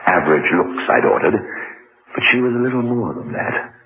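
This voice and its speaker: A man's voice: lowish voice, animated, moderate pace. An actor playing a smug, suave English businessman and blackmailer in a radio drama, with a British accent and a condescending, urbane manner. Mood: wry, self-satisfied. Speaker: actor narrating and playing the lead. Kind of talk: radio drama acting and first-person narration. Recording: muffled narrow-band radio recording, faint noise.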